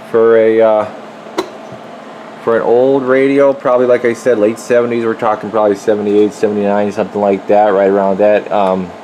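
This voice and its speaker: A man's voice: lowish voice, rough recording. A man giving a casual, folksy hands-on demonstration as a repair technician, talking informally while he works in an indoor workshop, recorded on a handheld camera. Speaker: radio repair technician presenting his work. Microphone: handheld camera microphone. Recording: steady loud equipment hum and noise.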